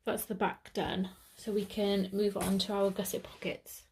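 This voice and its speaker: A woman's voice: mid-range voice, flat delivery, moderate pace, gravelly, darkish tone, slight background noise, low-quality recording. A British woman giving a step-by-step sewing tutorial, chatting casually and correcting herself as she works. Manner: chatty, self-correcting, thinking aloud.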